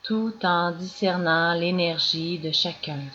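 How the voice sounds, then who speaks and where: A woman guiding a yoga nidra meditation as scripted narration, in calm, measured instructions with long pauses. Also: lowish voice. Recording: dull lo-fi recording with clear background noise.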